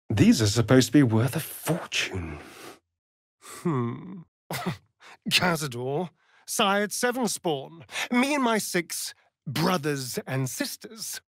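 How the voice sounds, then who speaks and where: A man's voice: medium pitch, slightly rough, dry recording, clean studio sound, full character voice. A man with a British accent playing a vain, sardonic immortal vampire rogue in a fantasy video game, theatrical and arch. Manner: arch, drawling, flamboyant.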